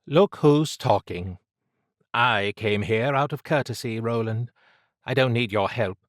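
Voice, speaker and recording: A man voicing a steely, brisk woman codebreaker in an audiobook, with a clipped, cool and teasing manner. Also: medium pitch, no echo, clean studio sound, lightly acted. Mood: steely, challenging. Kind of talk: audiobook character dialogue.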